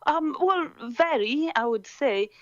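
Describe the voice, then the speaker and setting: Academic guest, Italian-native woman, unhurried, many fillers, lo-fi dull line. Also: high-pitched, expressive, no room sound. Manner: thoughtful, with many pauses and fillers. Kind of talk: casual interview answers.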